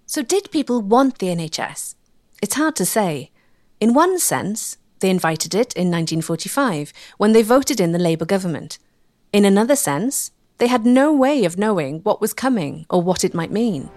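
Female documentary narrator, mid-range voice with lively intonation, measured and emphatic, dry studio sound. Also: mid-range voice, no echo.

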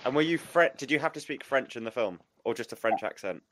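A man's voice: mid-range voice, expressive, quick pace, a bit bright. A man hosting a podcast, chatting informally and jokingly as he interviews a guest in a rambling way. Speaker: podcast host. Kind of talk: casual chat, interviewing.

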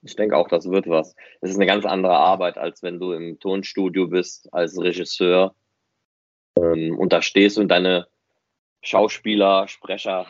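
A man's voice: deep voice, level tone, a little slow, no echo, dull audio. A man chatting on a podcast, explaining things in detail with examples. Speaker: podcast host and fan audio drama director. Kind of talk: casual podcast conversation.

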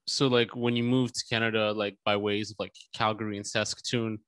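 A man's voice: fairly low pitch, little pitch movement, fairly quick, a bit bright, clear, resonant tone, dry recording. A man hosting a podcast, asking long, meandering questions padded with fillers. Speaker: podcast host. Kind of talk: casual podcast interview.

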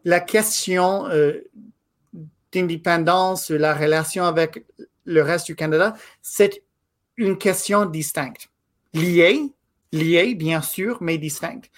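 A man in his thirties, a native English speaker from Quebec, giving a guest interview in a thoughtful, halting way. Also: a little high. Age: 30s. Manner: thoughtful, halting, with frequent pauses and restarts. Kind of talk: casual interview conversation.

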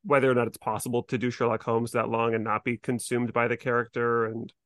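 A man's voice: medium pitch, little pitch movement, fast, a bit bright, no room sound, clean studio sound. A man co-hosting a podcast in a casual, conversational discussion. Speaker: podcast co-host.

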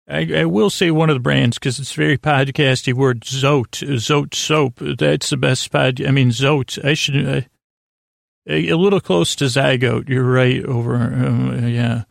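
An American man hosting a sleep podcast, rambling in a halting, meandering monologue with stammers and frequent self-corrections. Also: medium pitch, fairly even intonation, creaky, no room sound, studio quality. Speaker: podcast host.

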